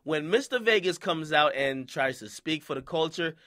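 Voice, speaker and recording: A man's voice: on the high side, animated, moderate pace, bright, forceful tone. A man from Jamaica hosting casual commentary, who keeps checking in with "okay?" and "right?" and acts out quoted voices. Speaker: commentary host.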